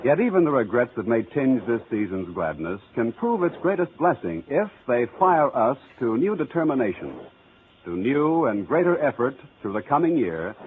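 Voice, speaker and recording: Solemn 1940s radio announcer, thin old recording. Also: male voice, fairly low pitch. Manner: solemn, earnest, oratorical. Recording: narrow, faintly noisy vintage radio recording.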